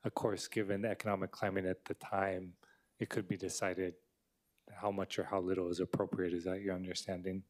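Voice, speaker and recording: Deep-voiced councilman asking questions. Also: level tone. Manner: measured, polite questioning. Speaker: council member.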